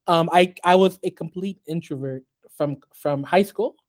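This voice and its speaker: A man born in Haiti and raised in the US, talking as a guest on a podcast interview in a measured way, with many ums and restarts. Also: on the high side, a little mellow. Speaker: guest artist.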